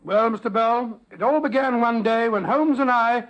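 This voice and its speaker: An actor playing a genial, avuncular elderly British doctor who chats and reminisces in 1940s radio drama style. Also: male voice, very high voice, moderate pace, highs cut off. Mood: storytelling. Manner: avuncular, old-fashioned, chatty. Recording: vintage 1940s radio recording.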